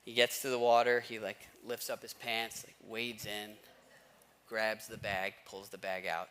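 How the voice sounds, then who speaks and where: Bright-voiced American man preaching. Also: lowish voice, little pitch movement, a little hiss or hum. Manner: emphatic, repeats key words and questions with pauses. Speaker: pastor preaching to a congregation. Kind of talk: conversational sermon.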